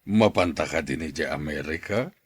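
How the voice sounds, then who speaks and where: Man playing a deep, husky older boss. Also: normal speed, no echo. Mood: musing. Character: stern older plantation boss, proud and calculating. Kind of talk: audio drama acting.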